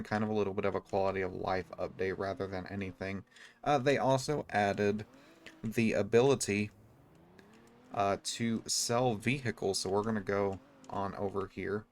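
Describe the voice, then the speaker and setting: A man giving casual, low-key, explanatory video game commentary. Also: steady tone, normal speed, slight background noise.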